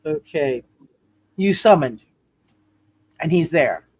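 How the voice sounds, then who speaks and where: A man running a tabletop roleplay game as game master, talking casually in a halting way and often repeating words. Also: on the high side, animated, a little mellow.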